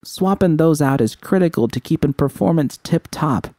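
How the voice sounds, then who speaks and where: A man's voice: fairly high pitch, animated, normal speed, mellow, no echo, studio quality, pitched above the performer's own voice. A man voicing a geeky, playful, sardonic young woman in audiobook dialogue. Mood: teasing, geeky. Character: techy, teasing young woman, sardonic and warm-hearted.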